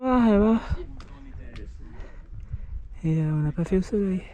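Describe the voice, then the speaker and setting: A man's voice: high voice, animated, measured pace, warm tone, lo-fi sound. A man filming himself during a mountain trail race, talking casually and tired, with lots of fillers and restarts. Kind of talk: casual vlog commentary while running.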